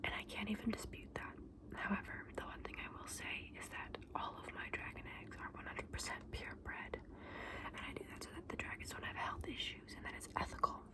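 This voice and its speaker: A woman playing a friendly, candid dragon egg seller in a whispered, soft-spoken ASMR roleplay, explaining things with small hesitations. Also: brisk, lightly acted, low-quality recording. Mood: quietly defensive.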